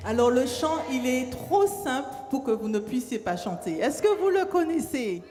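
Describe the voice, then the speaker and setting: A woman leading a congregation in worship, inviting them warmly to stand and sing together. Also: sits high, expressive, moderate pace. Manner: warm, inviting, coaxing. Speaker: worship leader. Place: church. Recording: church hall reverb with music.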